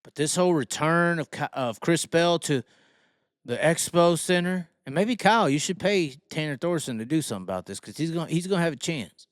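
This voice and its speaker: Guy ranting about racing, swears a ton, repeats himself for punch, smooth clear voice, dry close sound. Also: on the high side, animated, a little fast, no room sound. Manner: brash, heavily profane, emphatic; repeats phrases for emphasis. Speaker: dirt racing commentator. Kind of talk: opinionated solo rant commentary.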